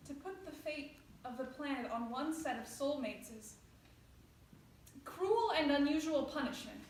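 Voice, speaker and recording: A woman's voice: sits high, animated, unhurried, darkish tone. A woman playing a weary, loving, wistful soulmate in a staged play reading. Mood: scared. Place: indoor stage. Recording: very echoey room, faint noise.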